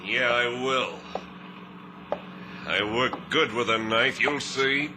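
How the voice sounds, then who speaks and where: A man's voice: mid-range voice, slow, close to an everyday voice. Man playing a menacing thug on noisy old radio. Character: vengeful knife-wielding male thug, menacing. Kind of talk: 1950s radio drama acting.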